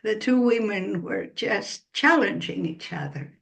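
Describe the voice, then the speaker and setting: A woman author speaking in a slow, measured way in an interview, pausing as she explains. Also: mid-range voice, vocal fry, a bit bright. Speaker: author guest. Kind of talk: relaxed interview answers.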